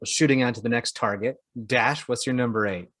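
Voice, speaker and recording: A man's voice: mid-range voice, fairly quick, fairly bright tone, no room sound. Casual man on a very dry mic. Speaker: podcast co-host. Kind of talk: casual chat about movies and games.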